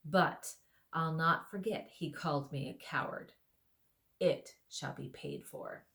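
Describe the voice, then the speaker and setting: A woman voicing a sly, resentful male lord who speaks with quiet menace. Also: low-pitched, takes it slowly, creaky, heavily acted. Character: scheming resentful male lord, low-voiced.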